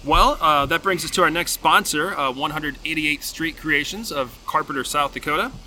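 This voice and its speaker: A man hosting a podcast who tells animated stories, acts out playful quotes and reads sponsor messages. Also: on the high side, normal speed, a little hiss or hum, poor audio. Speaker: podcast host. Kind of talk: casual chat with sponsor reads.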